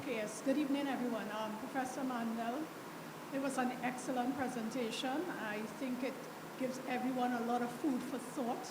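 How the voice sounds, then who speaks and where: A woman from the Caribbean with a Caribbean accent, speaking as an audience member, polite and measured. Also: on the high side, steady tone. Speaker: audience member asking a question. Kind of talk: question from the floor. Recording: echoey hall with audible background noise.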